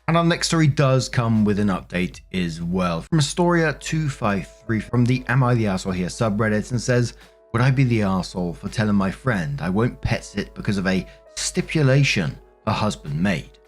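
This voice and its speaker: Low-pitched British man narrating online stories. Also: a little mellow. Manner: conversational, addresses listeners directly. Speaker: host reading and reacting to online stories. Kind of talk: narrating forum stories with casual commentary.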